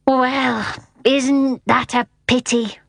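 A woman's voice: sits high, steady tone, drawn-out words, gravelly, no echo, pitched above the performer's own voice, exaggerated voice. A woman voicing a kindly, sentimental old man in a storybook, drawing out exclamatory words. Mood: wistful.

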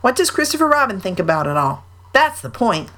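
A woman's voice: medium pitch, big pitch swings, a little fast, fairly bright tone, pitched above the performer's own voice. A woman voicing a fussy, bossy male rabbit in a storybook.

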